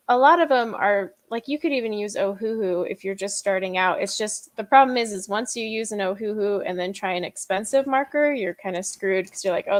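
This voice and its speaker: Chatty laughing woman hosting a live stream. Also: medium pitch. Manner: chatty, laughs often, many fillers. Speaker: host of a live coloring stream. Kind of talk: casual live-stream chat.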